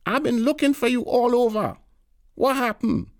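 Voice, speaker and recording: Man doing a loud bossy old auntie, shrill and gravelly, audiobook. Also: moderate pace. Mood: insistent. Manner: shrill, brash, scolding. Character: loud bossy elderly island woman, Tanti.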